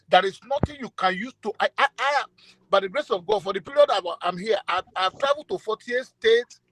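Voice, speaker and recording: Man in his 60s, Nigerian Ekiti accent, halting and repetitive, dry close sound. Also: high-pitched, a bit bright, dry recording, low-quality recording. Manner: halting, repeats words, stumbles over phrases. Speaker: call-in speaker. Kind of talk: impassioned online forum talk.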